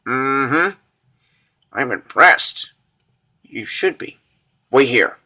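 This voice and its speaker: A man voicing a brash, sardonic mercenary woman in a comic book dub, curt and deadpan. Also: medium pitch, drawn-out words, heavily acted. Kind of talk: comic book dub, dramatic character voices.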